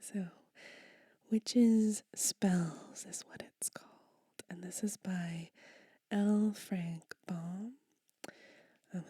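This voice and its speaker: A woman whispers slowly and breathily, her mellow voice kept soft and close. She reads verses and chats between them in a hushed ASMR style. The sound is dry, with no room around it. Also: lowish voice, slightly rough, no echo. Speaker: ASMR reader. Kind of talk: whispered ASMR book reading.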